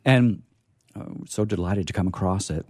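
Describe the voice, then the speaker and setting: A man's voice: low-pitched, moderate pace, a little mellow, no echo, studio quality. A man hosting a live radio theatre show in a casual, rambling, jokey, folksy way. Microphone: studio microphone.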